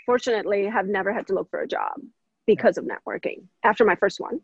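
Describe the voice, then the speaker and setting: A woman from India in her thirties talks casually as an interview guest, lively and quick to laugh. Her voice has a gravelly, husky edge, and the recording is dry and a little dull. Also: mid-range voice, no echo. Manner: animated, laughs often, many fillers.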